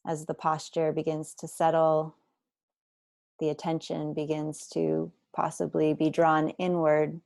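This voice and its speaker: A woman guiding a body scan meditation, speaking slowly and deliberately with gentle instructions and long pauses. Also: low-pitched, completely flat delivery, gravelly, no echo. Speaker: meditation teacher.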